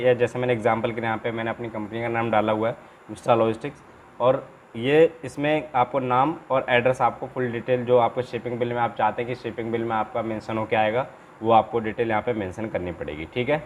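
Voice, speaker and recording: A man teaching informally in an instructional video, explaining paperwork step by step and often repeating himself with small check-in tags. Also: mid-range voice. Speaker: trainer and channel host. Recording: clear background noise, room ambience.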